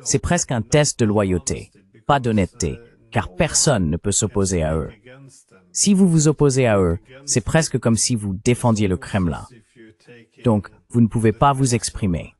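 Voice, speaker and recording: A man's voice: on the low side, very animated, moderate pace, darkish tone. A man giving a translated voice-over for an interview host, articulate and argumentative in a political discussion.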